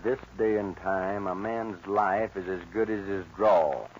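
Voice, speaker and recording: An actor playing a cocky young American outlaw gunfighter, laconic and folksy, in a 1940s radio western. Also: male voice, sits low, unhurried. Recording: dull, hissy old radio recording.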